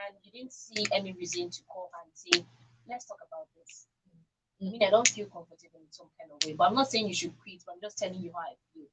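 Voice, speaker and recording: A woman's voice: mid-range voice, background noise. A Nigerian woman talking reflectively as a panelist on a radio discussion, voicing other people's words as she makes her point. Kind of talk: conversational discussion on a radio talk show.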